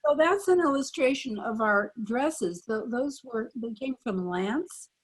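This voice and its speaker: A woman's voice: on the high side, lively intonation, measured pace, slightly rough, rough recording. An older woman with casual delivery, reminiscing as a guest on a panel. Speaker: panel guest, former child radio actress.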